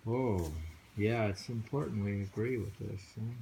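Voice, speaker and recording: Man, dark mellow voice, slow delivery, reads poetry and muses, casual chat. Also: lowish voice, fairly even intonation, vocal fry, slight background noise, poor audio. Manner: slow, musing, with frequent hums. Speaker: poetry reader and host. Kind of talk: casual reading and discussion of poems.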